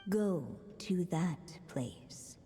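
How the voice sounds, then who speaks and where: A woman's voice: fairly low pitch, animated, drawn-out words, warm tone, a little hiss or hum, full character voice. A woman playing a mysterious storybook narrator in a video game, solemn and lyrical. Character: measured, mysterious female storybook narrator telling a fairy tale. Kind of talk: scripted fairy-tale narration in a video game. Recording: long reverberant echo.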